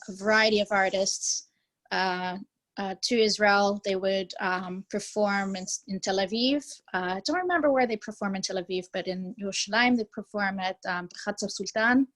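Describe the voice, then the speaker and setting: A woman's voice: medium pitch, a bit bright, poor audio. An American woman chatting on a video call, talking hesitantly with many ums and restarts. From United States. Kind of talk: casual conversational reminiscing.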